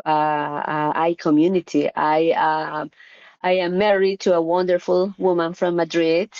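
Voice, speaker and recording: A middle-aged woman with a Colombian accent answering interview questions, pausing often with fillers and restarts. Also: fairly low pitch, a little mellow, smooth, highs cut off. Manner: hesitant, frequent "uh" fillers and restarts. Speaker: surgeon, interview guest.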